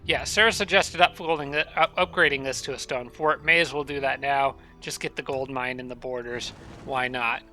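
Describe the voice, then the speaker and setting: A man casually commentating a strategy game, thinking aloud and correcting himself, his voice a little raspy and nasal from allergies. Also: mid-range voice, fairly even intonation, normal speed, sharp tone, soft background music. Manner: thinking aloud, rambling with restarts.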